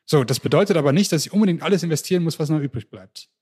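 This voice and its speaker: A man's voice: on the high side, very fast, breathy edge. German man telling his story eagerly. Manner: lively storytelling with frequent restarts. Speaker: interview guest. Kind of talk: casual podcast interview.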